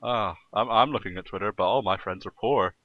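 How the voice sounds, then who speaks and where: A man's voice: lowish voice, a little fast, dry recording, dull audio. A man podcasting in casual, joking banter with a theatrical, playful manner.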